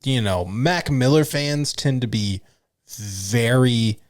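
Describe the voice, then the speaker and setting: A man's voice: mid-range voice, slow. A young man co-hosting a podcast in casual chat, teasing and sarcastic, swearing freely. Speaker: podcast co-host.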